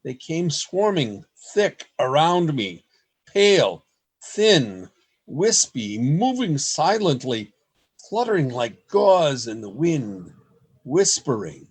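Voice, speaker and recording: Guy playing an anxious writer reading out his diary, radio play style, unhurried dramatic storytelling. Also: on the high side, very animated, breathy edge, full character voice. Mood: hushed dread.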